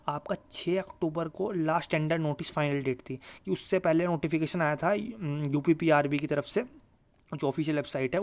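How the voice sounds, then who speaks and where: A man giving informal, emphatic exam guidance to students, repeating his points, adding check-in tags and mixing in English words. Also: on the high side. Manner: mixes English words into speech. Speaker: exam coaching YouTuber.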